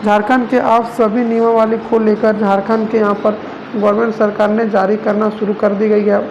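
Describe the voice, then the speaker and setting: News narrator, mid-pitched and very even in tone, wordy reporting style, noisy home-grade sound. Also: moderate pace, muffled recording. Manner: wordy, repetitive phrasing. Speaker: news presenter for a regional online channel. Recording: noticeable background noise.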